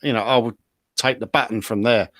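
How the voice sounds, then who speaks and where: A man with an average-pitched voice, recorded dry. He co-hosts in a loose, casual, rambling way. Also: steady tone, brisk, a bit bright, no echo.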